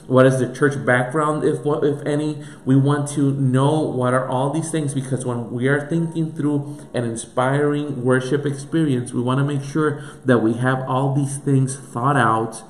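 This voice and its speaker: A man's voice: mid-range voice, fairly even intonation, a little slow, hardly any pauses. A man with a Puerto Rican accent, a pastor giving an earnest teaching talk that runs through a series of questions. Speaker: pastor and church planter. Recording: echoey room with faint music.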